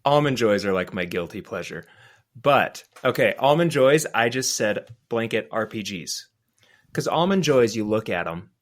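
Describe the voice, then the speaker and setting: A man chatting casually as a podcast panelist, informal and opinionated. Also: medium pitch. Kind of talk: casual chat about games and candy.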